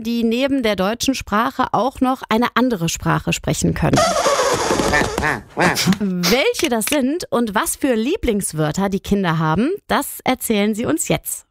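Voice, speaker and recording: A woman's voice: medium pitch, no room sound. A woman hosting a children's radio show from a studio, talking enthusiastically and directly to young listeners. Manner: enthusiastic, speaks directly to kids.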